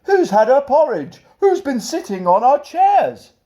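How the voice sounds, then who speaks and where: A man's voice: very high voice, big pitch swings, unhurried, warm tone, pitched above the performer's own voice, heavily acted. A man voicing an indignant little baby bear in a children's storybook, complaining in a whiny way.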